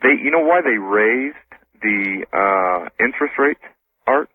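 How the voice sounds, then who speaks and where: A man speaking as a radio interview guest, casual and informal, explaining things haltingly. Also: lowish voice, expressive, husky, no echo. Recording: tinny phone-like radio broadcast.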